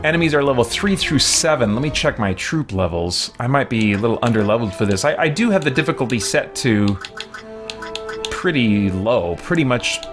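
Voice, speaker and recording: A man's voice: medium pitch, expressive, brisk, music in the background. A man giving casual let's-play commentary as a gaming host, rambling and thinking aloud as he goes. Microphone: microphone with a fan nearby.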